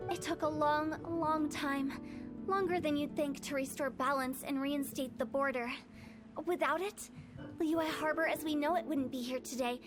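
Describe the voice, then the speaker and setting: A woman's voice: high voice, steady tone, normal speed, natural voice. A woman voicing a playful, mischievous young funeral parlor director who tells old tales in a game. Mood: solemn. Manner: teasing, then solemn storytelling. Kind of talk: game cutscene voice acting. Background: game music.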